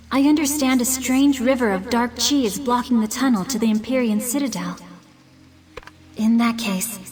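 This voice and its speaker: Woman voicing a high, composed fantasy leader. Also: natural voice. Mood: composed, briefing. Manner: formal, measured, articulate. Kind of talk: scripted video game quest dialogue.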